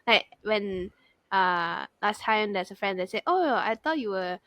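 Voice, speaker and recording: A woman's voice: medium pitch, animated, unhurried, a bit bright, no room sound. A Cantonese-speaking woman from Malaysia chats in Malaysian-accented English, full of "like" and teasing. Her voice sits mid-range and sounds dry and close to the mic. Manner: chatty, teasing, lots of "like". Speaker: podcast co-host. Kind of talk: casual chat.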